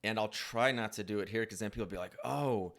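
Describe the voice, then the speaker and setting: A man's voice: fairly low pitch, fast talker, creaky, a bit bright. A man hosting a podcast, rambling easily with many restarts. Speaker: podcast host. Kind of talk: casual chat.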